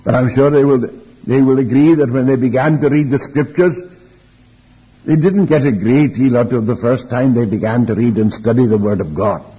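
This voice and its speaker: Elderly preacher, muffled in a reverberant hall. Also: male voice, medium pitch, slightly rough. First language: Welsh. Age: older, elderly. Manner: deliberate, reflective, with rhetorical questions and repeated phrases. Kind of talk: Bible teaching sermon.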